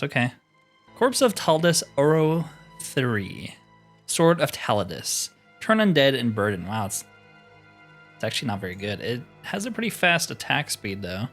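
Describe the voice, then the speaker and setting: Male gaming host, casual think-aloud playthrough commentary, mid-pitched voice, music underneath. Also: a bit bright.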